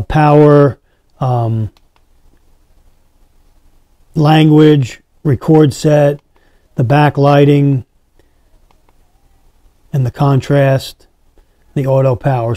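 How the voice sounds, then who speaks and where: Man doing a laid-back product review, mellow voice, close dry sound. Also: a little high, fairly even intonation, slow pace, long pauses, dry recording. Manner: addresses viewers as guys. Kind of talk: casual hands-on product demonstration.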